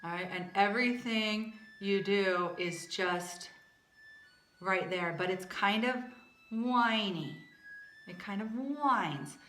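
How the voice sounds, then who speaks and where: A woman teaching a vocal warm-up lesson for choir singers, chatty and casual, with a naturally low speaking voice. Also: slow, echoey room, a little hiss or hum. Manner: chatty, demonstrates belting and singing.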